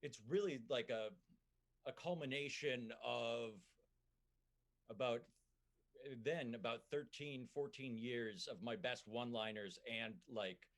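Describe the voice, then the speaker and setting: A comedian with a Minnesotan accent, chatting on a podcast in a halting way full of fillers and restarts. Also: male voice, medium pitch, fairly bright tone. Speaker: comedian guest. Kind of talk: casual podcast chat.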